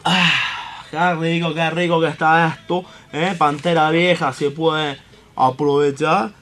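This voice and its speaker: A man plays an excitable Cuban host of a comic football radio show, pitched very high. He is bumbling and boozy, rambling along and repeating his phrases. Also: takes it slowly. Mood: savouring. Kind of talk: comic sports radio presenting.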